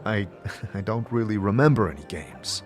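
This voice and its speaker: Low-voiced male narrator and lead, a bit hesitant. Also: animated, normal speed, soft background music. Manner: hesitant, with filler "uh"s in dialogue. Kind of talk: audio drama narration and acting.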